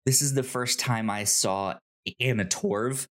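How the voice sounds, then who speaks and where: A man co-hosting a podcast, chatting casually about television in a halting way, often repeating words and stammering over them. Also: mid-range voice, fairly bright tone. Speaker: podcast co-host. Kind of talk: casual conversational TV show discussion.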